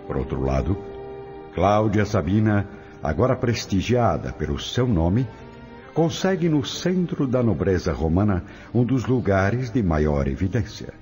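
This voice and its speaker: A man narrating a radio drama in a dramatic, measured storytelling manner. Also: on the low side, very animated, over music.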